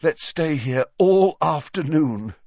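A man's voice: fairly high pitch, animated, slow, a little mellow, no room sound, dull audio, pitched above the performer's own voice, exaggerated voice. A man voicing a blunt, grumbling, plain-spoken teenage schoolboy. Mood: relieved.

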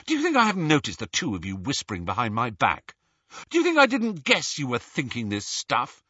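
A bitter teenage wizard boy snaps in curt, cold lines that swing up into shouts, as a man reading the storybook puts him on. His voice has a husky, bright edge. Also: deep voice, animated, slow, no room sound, muffled recording, pitched below the performer's own voice, full character voice. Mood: cold anger. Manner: curt, cold, then bellowing.

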